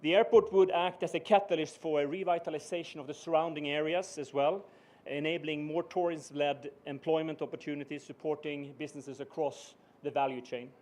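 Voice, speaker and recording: A middle-aged man with a Swedish accent giving a measured, formal speech as a business executive, pausing often on "uh". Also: on the high side, steady tone, darkish tone. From Sweden. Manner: measured, with frequent "uh" pauses. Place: ceremony venue.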